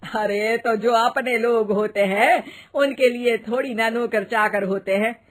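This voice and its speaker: Woman doing a loud, homely older mother-in-law. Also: fairly high pitch, fairly even intonation, measured pace, lightly acted. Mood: kindly. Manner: exclaiming, homely, dramatic. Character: plain-spoken older mother-in-law, caring but scolding.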